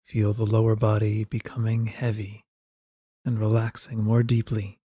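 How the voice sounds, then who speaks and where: A man narrating a scripted guided meditation in a gentle, soothing manner, giving soft, unhurried instructions close to the mic. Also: on the low side, monotone, slightly rough, dry recording.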